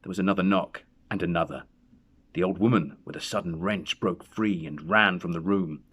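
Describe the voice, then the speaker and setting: A man narrating a horror story in a dramatic, suspenseful and deliberate way. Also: low voice, fairly bright tone, slightly rough. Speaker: story reader.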